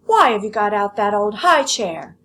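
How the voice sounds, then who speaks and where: A woman voicing a brash, bullying woman from a storybook, who speaks too loudly in a scornful, domineering way. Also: mid-range voice, big pitch swings, normal speed, full character voice. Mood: scornful shouting. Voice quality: loud, shouted delivery.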